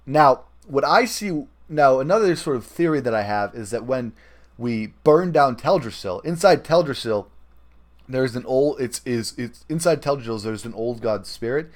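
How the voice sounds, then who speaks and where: A man hosts a gaming podcast in a casual, conversational style. His pitch sits in an ordinary middle range for a man. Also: expressive, normal speed. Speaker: podcast host.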